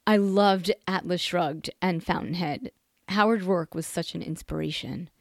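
A woman hosting an interview, with a low voice full of vocal fry. She asks short, direct questions and nods along with brief affirmations. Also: a little slow.

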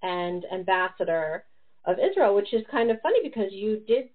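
A woman's voice: medium pitch, expressive, normal speed. A woman in her forties hosting a casual podcast chat, talking loosely with lots of fillers and laughter. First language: English. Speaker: podcast host. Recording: tinny phone-like line.

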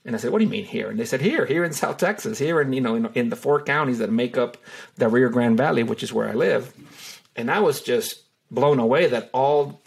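Middle-aged man from Honduras, gravelly voice, unhurried and full of fillers, an easygoing interview guest. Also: medium pitch. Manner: hesitant, many fillers and restarts. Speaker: wildlife photographer and tour guide. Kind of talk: relaxed interview answers.

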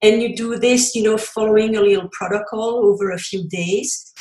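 A French-accented woman from Belgium talks through her ideas as an interview guest, stopping and restarting with fillers. Her voice sits at a middle pitch and carries a noticeable room echo. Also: fairly even intonation, moderate pace, a little mellow. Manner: explanatory, frequent fillers and restarts. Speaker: podcast guest, cookbook author. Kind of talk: conversational interview.

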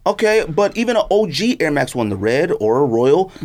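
A middle-aged man in casual podcast conversation. His pitch is highly animated, swooping up and down. He is emphatic and opinionated, repeating short phrases to press his point. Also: fairly quick. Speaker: sneaker podcast co-host. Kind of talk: casual chat.

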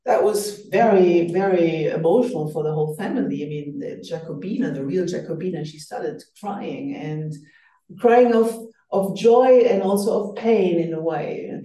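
A woman's voice: on the low side, moderate pace, warm tone, echoey room, far from the mic, rough recording. A woman whose first language is German, speaking as an author in an earnest, reflective interview and often restarting her phrases. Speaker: author guest. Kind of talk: radio interview.

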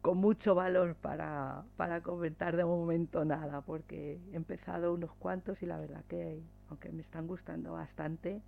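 A woman's voice: lowish voice, fairly even intonation, moderate pace, gravelly, hardly any pauses. A woman chatting casually and a little hesitantly as a podcast co-host. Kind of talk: casual book chat. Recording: thin phone-like audio.

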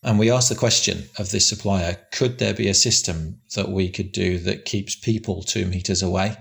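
A man's voice: deep voice, fairly even intonation, moderate pace, gravelly. A man who manages a construction site, talking casually and plainly in a big voice, often restarting and repeating words. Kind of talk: casual interview answers.

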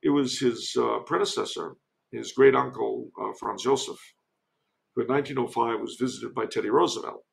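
Male podcast guest, heavy vocal fry, erudite and discursive, halting as he explains history in conversation. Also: mid-range voice. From United States. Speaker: podcast guest, author and commentator.

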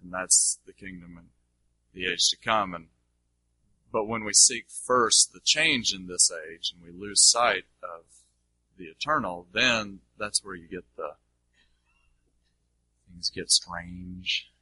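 Male teacher, very bright forceful voice, lowish pitch, dry close mic; informal, emphatic Q&A delivery with repetitions. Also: slow, pauses often, slightly rough, no room sound, low-quality recording. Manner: emphatic, repeats himself, checks listeners follow. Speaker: teacher taking audience questions. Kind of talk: informal question-and-answer teaching.

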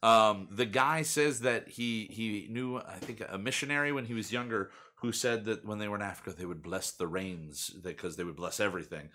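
A man's voice: lowish voice, normal speed, few pauses, bright, forceful tone. A young man hosting a casual podcast, rambling and chatty, with lots of fillers. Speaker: podcast host. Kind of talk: casual chat.

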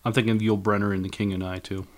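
A man on a podcast panel speaking casually, in a measured and somewhat hesitant way. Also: lowish voice, little pitch movement. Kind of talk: casual discussion.

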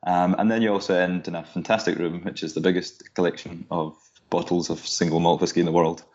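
A man guest explaining how something is made in a measured, informative way, with frequent ums and uhs. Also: sits low, flat delivery, darkish tone. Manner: informative, measured, with many fillers. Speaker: guest, whisky guide. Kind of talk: casual explanatory chat. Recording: dull lo-fi audio.

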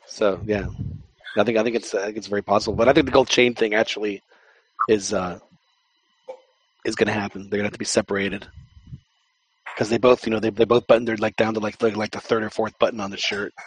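A man's voice: fairly low pitch, little pitch movement, fast, pauses often, husky, no room sound, poor audio. A man co-hosting a football podcast, joking in casual chat and often repeating words as he talks. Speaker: podcast co-host.

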